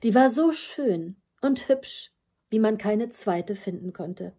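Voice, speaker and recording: An older woman reads a children's fairy tale at home. Her voice is mellow and breathy, of middling pitch, and her delivery is expressive. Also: slow. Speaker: storyteller. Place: room with bookshelves at home.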